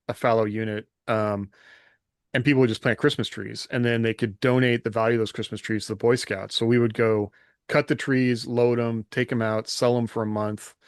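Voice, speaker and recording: American man, middle-aged, average pitch, casual podcast chat, self-deprecating, dry close sound. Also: no echo. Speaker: podcast co-host, writer.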